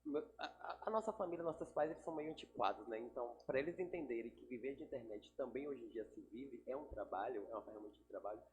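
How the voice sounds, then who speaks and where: A man's voice: medium pitch, fast talker, few pauses, low-quality recording. A man, a guest chatting casually in a podcast interview. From Salvador, Brazil. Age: 30s. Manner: relaxed, chatty, laughs easily. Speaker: guest, digital influencer.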